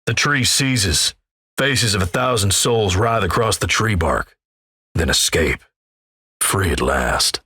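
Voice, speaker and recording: A man playing a gruff, folksy, wry Old West narrator in a video game, with a gravelly American Western drawl and measured, ominous storytelling. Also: on the low side, expressive, sharp tone, no echo, studio quality, exaggerated voice. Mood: portentous. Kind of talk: dramatic second-person scripted game narration.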